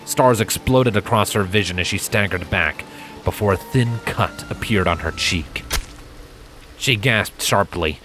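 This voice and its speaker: A man reading a dramatic fantasy story as a scripted narrator, measured and deliberate. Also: fairly low pitch, music in the background, low-quality recording. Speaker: fan fiction narrator.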